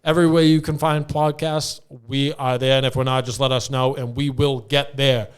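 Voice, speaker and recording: Clear-voiced man hosting a podcast. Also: mid-range voice, level tone, normal speed. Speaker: podcast host. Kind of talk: casual podcast hosting and sign-off.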